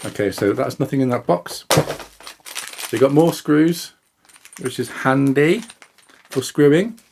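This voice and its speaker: A man's voice: mid-range voice, takes it slowly, vocal fry, noisy background. A man giving a casual, chatty product demonstration, informally thinking aloud and correcting himself as he goes. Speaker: product reviewer and video host. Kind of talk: casual unboxing and demonstration talk to camera.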